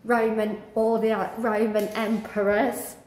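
A woman vlogger talking through a museum and garden on a walking tour, halting with many fillers. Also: on the high side, little pitch movement, a little mellow, reverberant. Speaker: travel vlogger. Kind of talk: casual walking tour commentary. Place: indoor museum and outdoor garden.

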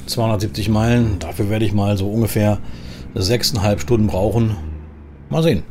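A man from Germany giving casual, chatty commentary as he plays a trucker in a driving simulator, thinking aloud in a relaxed way. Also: fairly low pitch, normal speed. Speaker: gaming video host playing a trucker. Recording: clear engine and vehicle noise behind. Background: truck simulator game sound.

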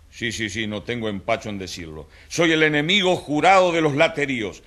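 A man's voice: mid-range voice, bright, forceful tone. Smug politician guy, mayor in a radio play, declaiming, brushes everyone off. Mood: scornful amusement, then defiant. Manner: self-assured, dismissive, declamatory. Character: smug, combative male city mayor waging war on slums. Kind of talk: radio drama acting, as interviewed politician.

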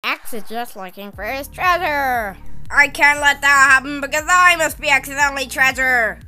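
A woman's voice: high voice, normal speed, bright, forceful tone, soft background music. A woman voicing a quirky, childlike, scheming cartoon creature in a fan comic dub, with an emphatic, innocent-sounding delivery. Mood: scheming, playful.

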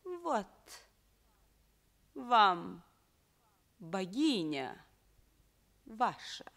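An actress playing a passionate, scheming enslaved princess in love, with theatrical, drawn-out, declamatory delivery in a radio play. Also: female voice, medium pitch, sing-song, gravelly, fairly bright tone, full character voice. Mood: urgent, indignant. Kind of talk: declamatory classic stage acting in a radio play.

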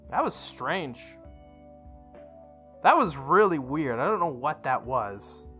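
A woman's voice: sits low, sing-song, takes it slowly, a bit bright, soft background music. A woman reading visual novel narration aloud, with casual, chatty asides.

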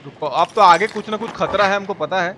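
Male game streamer, sharp high voice, casual and sweary, addressing chat. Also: a little fast. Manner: informal, foul-mouthed, talking to viewers. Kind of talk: live gameplay commentary with chat shout-outs. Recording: clear background noise and game ambience. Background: video game ambience.

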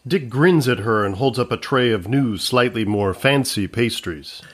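A man narrating a scripted comedy in a measured, matter-of-fact way. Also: medium pitch, expressive, husky.

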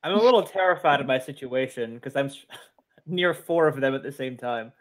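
A man's voice: mid-range voice, expressive, normal speed. A man playing a tabletop game over a video call, hesitant and laughing nervously. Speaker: player.

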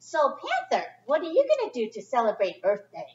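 A middle-aged woman doing a chatty, expressive children's read-aloud with informal asides, speaking in simple phrases for young listeners. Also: sits high, a little fast. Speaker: storyteller reading a picture book to children. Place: indoor library children's area. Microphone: distant camera microphone. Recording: echoey room, distant muffled camera sound.

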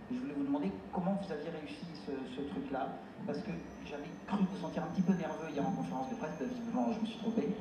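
A man, a news agency journalist, asking a coach a polite, somewhat hesitant question. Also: fairly high pitch. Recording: distant voice in echoey noisy hall.

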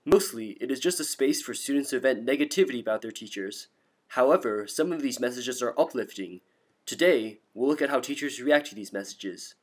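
A man's voice: mid-range voice, animated, a little fast. A man presenting a student video in scripted narration.

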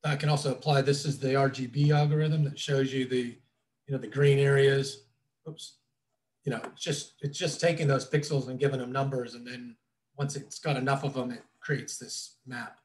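A man's voice: mid-range voice, little pitch movement, normal speed, a little mellow, rough recording. A middle-aged man explaining things informally as a trainer in an online webinar, in a casual, loosely worded way, recorded indoors over a video call. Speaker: drone trainer presenting a webinar. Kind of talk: informal instructional presentation over slides.

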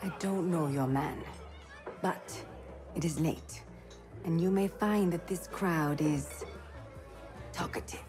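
A woman's voice: sits low, slow pace, long pauses, crowd noise. An actress playing a shrewd old alien woman who keeps a tavern in a video game, wry and bossy. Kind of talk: cinematic video game acting. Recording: music under the voice.

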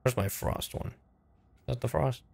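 A man's voice: medium pitch, unhurried, gravelly, darkish tone. A man doing casual, profane livestream gaming commentary, exclaiming and muttering to himself. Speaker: streamer, video game player. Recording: game sound behind the voice. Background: video game ambience.

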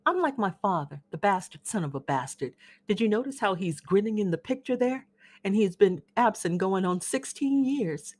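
A woman giving voice to a dreamy young man who is impatient to get away. He speaks in a brooding, wry way, set a little lower than her own voice. His pitch still roams widely as he muses. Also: lively intonation, moderate pace, darkish tone, close to an everyday voice. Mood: bitter. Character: restless young man, a dreamy warehouse worker. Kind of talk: dramatic reading of a play.

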